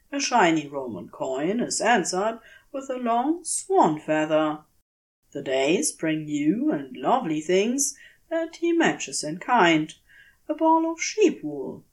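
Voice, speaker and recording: A woman narrates fiction at an unhurried pace. Her intonation moves widely up and down, and her delivery is gentle and deliberate, with soft phrase endings. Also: lowish voice. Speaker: audiobook-style fan fiction reader. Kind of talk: expressive scripted story narration.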